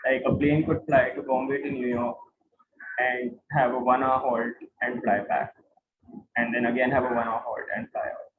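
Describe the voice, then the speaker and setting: A man's voice: medium pitch, flat delivery, normal speed, background noise. Echoey, phone-like Indian man teaching. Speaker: instructor, guide. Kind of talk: informal classroom lecture with questions to students. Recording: roomy, tinny phone-like online class audio.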